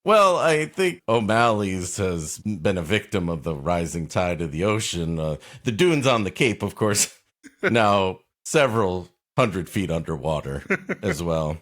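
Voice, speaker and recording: A low-voiced man, slow and wry. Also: very animated, fairly bright tone. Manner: wry, riffing. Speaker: comedy podcast co-host. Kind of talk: casual comic commentary and banter.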